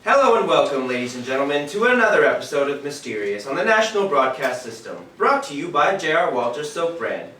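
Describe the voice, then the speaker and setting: A man's voice: a little high, big pitch swings, a little fast, few pauses, bright, forceful tone. A man acting a polished old-time radio announcer on stage, with a projected, showy delivery. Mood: showy, upbeat. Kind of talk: 1940s radio show announcing. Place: live stage in a theatre. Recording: echoey theatre from far off, faint noise.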